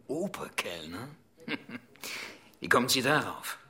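A condescending, haughty manager in a radio drama. He speaks slowly and pompously, with a forceful edge and big swings in pitch. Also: sing-song, full character voice. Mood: scornful. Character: haughty, offended restaurant manager. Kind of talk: German radio play acting.

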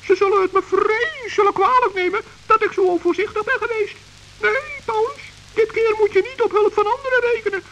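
A small, timid forest gnome frets and stammers, voiced by a woman. His voice is very high and light. He mutters worried pep talks to himself. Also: fairly quick, a bit bright, lo-fi sound, a little hiss or hum. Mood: dismayed. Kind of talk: 1960s children's radio play acting.

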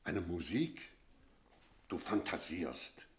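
An actor playing a sober village innkeeper who tells an eerie tale in a measured, reflective radio drama style. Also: male voice, sits low, big pitch swings, fairly bright tone, slightly rough, no echo, heavily acted. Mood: puzzled, brusque. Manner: measured, reflective, literary storytelling. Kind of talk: radio drama narration and dialogue.

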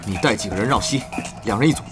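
An actor playing an earnest, analytical guild leader from an online game in an audio drama. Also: male voice, fairly low pitch, fast, close to an everyday voice. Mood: urgent, analytical. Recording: loud music behind the voice.

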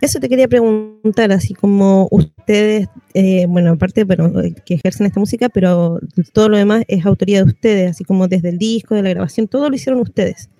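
A Chilean radio host with a relaxed, dark-toned voice talks in an informal, chatty way. The delivery is that of a casual community radio interview, recorded close and dry with very little room sound. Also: medium pitch, brisk, hardly any pauses, no echo.

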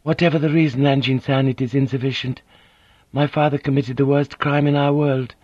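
A man voicing a gentle, composed Japanese noblewoman who speaks softly and formally. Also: medium pitch, flat delivery, fairly quick, no room sound, muffled recording, voice pushed up, natural voice. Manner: soft, formal, careful speech.

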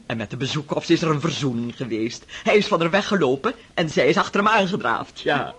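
An actor voicing a bossy, chatty elderly aunt in a radio drama, commanding and teasing with a husky voice. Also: male voice, on the high side, animated, brisk, fairly bright tone. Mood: gossipy.

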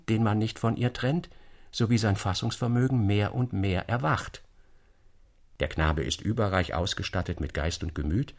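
Low-voiced eloquent literary storyteller. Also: male voice. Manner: eloquent, rhetorical, deliberate phrasing. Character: male storyteller friend expounding a dark psychological case. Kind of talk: literary audiobook reading as dramatic monologue.

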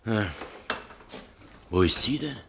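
A no-nonsense electrician with a very low voice. He speaks in clipped, exact phrases, like a master instructing apprentices, in a radio crime play. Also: animated, slow, vocal fry, fairly bright tone, a little hiss or hum, exaggerated voice. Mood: curious. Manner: precise, emphatic, clipped technical explanations. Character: matter-of-fact male electrician and shop owner, master of apprentices. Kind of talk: radio crime drama dialogue.

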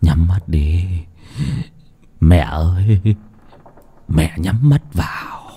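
A man voicing a frightened middle-aged father who mutters in a low, hushed, half-whispered voice. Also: normal speed, slightly rough, heavily acted. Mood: fearful muttering.

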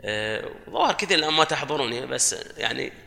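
Give me a panel guest with a tense, bright tone and a long reverb from the hall. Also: male voice, medium pitch, lively intonation, quick pace, vocal fry. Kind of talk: panel discussion.

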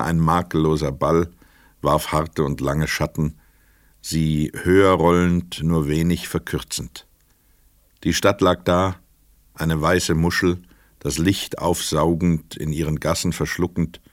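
Male narrator, extremely low pitch, dry close acoustic, measured, precise, literary radio storytelling. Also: no echo.

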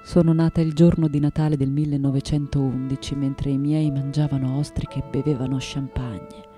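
An actress playing a reflective, anguished and defiant artist in her late nineties in a theatrical, deliberate radio monologue. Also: rumbling low, flat delivery, warm tone, soft background music. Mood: weary, reflective. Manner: theatrical, deliberate, dwelling on repeated words. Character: 98-year-old woman artist, intense, reflective, anguished, defiant.

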